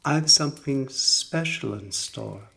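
A man's voice: mid-range voice, animated, slow, gravelly, bright, forceful tone, full character voice. A man voicing a kindly, eccentric fairy godmother in a storybook, speaking reassuringly and affectionately. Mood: assured. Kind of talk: storybook character reading.

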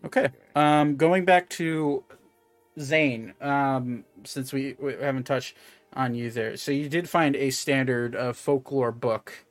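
A man running a tabletop game as game master, narrating in a halting, conversational way and often correcting himself. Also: medium pitch, dry recording.

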